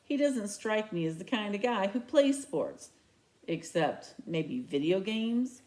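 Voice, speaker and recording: A woman's voice: medium pitch, big pitch swings, takes it slowly, a little mellow, low-quality recording. A woman reading a storybook aloud as narration, telling the tale in the first person. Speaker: read-aloud reader.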